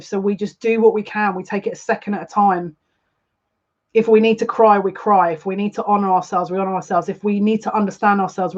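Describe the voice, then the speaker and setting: A woman's voice: medium pitch, a little mellow, highs cut off. A woman hosting a live spiritual reading, speaking earnestly and confidingly, often repeating her phrases. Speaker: psychic livestream host.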